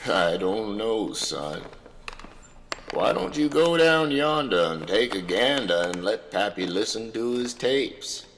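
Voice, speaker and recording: A man plays a wary backwoods father in an audio comedy drama. His voice is lowish and slow, with folksy turns of phrase. Long reverb and a little noise surround him. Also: lively intonation, a bit bright, exaggerated voice. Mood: dry, dismissive. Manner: folksy, drawn-out rural phrasing. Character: wary, gruffly practical backwoods father in a wasteland.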